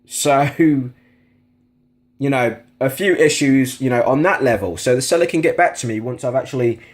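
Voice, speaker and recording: A man's voice: medium pitch, measured pace. British man, plain-spoken product reviewer. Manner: plain-spoken, matter-of-fact complaining. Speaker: product reviewer on a video channel.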